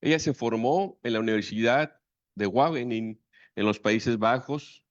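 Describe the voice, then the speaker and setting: A man moderating a session, reading out a speaker's biography in a formal, measured way. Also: medium pitch, dry recording. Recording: dull dry sound.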